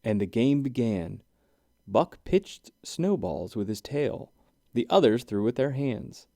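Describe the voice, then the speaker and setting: A man reading a rhyming children's storybook aloud in an expressive, deliberate way. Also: mid-range voice, vocal fry, dry recording, studio quality. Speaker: children's book reader. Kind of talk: animated scripted read-aloud of a rhyming storybook.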